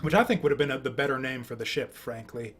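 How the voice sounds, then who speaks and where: A man giving casual let's play commentary in a rambling, halting way, often repeating himself. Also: medium pitch, fairly bright tone. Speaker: game streamer. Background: game music.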